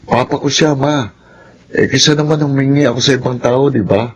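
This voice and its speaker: A man's voice: medium pitch, fairly even intonation, moderate pace, slightly rough, muffled recording, lightly acted. A man playing a playful, affectionate college-age son, boyish and wheedling, with a Filipino accent, in radio drama style.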